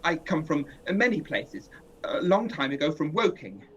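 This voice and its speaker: A man's voice: on the high side, very animated, a bit bright, slight background noise. An actor playing a weary survivor who narrates his own journey and speaks hesitantly, at times unsure, in a radio drama, recorded on a dull lo-fi mic. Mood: uneasy. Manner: hesitant, uncertain in dialogue; measured in narration. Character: weary male survivor wandering a ruined land. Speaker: narrator and main character.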